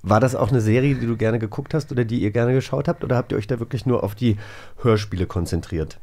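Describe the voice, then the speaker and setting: A man hosts a podcast in a casual, colloquial tone with a medium-pitched voice. He chats and tells stories freely, as if talking with friends. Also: quick pace, mellow. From Germany, grew up in a village. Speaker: podcast host. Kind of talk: casual chatty interview.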